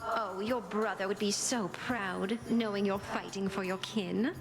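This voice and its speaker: An actress playing a flirtatious, doting goddess of love in a video game, coquettish and gushing. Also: female voice, medium pitch, fairly even intonation, measured pace, close to an everyday voice. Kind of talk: video game character dialogue. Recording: loud music behind the voice.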